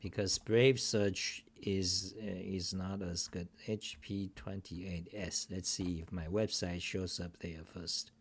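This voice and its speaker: A man's voice: deep voice, fairly even intonation, slow, few pauses, breathy edge. A middle-aged man hosting a casual screen-share talk show at his home desk, rambling and grumbling with profane asides. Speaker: host.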